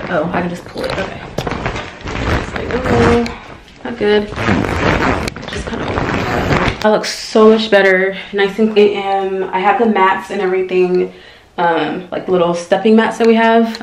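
A young American woman chatting casually for a vlog, thinking aloud in an informal way as she goes. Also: mid-range voice. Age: young adult. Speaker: lifestyle vlogger. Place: small tiled bathroom, indoors. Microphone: camera mic at arm's length or propped nearby. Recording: echoey bathroom, clear household clatter noise.

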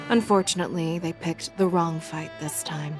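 A woman voicing a resolute warrior general, low and measured. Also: steady tone, darkish tone, soft background music, natural voice. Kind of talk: game dialogue.

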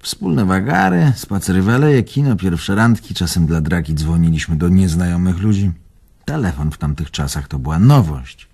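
Husky, very animated old storyteller. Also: male voice, low voice, normal speed, heavily acted. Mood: wistful, reminiscing. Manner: theatrical, expansive storytelling. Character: animated, teasing old Polish man fond of reminiscing. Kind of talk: radio drama acting, reminiscing.

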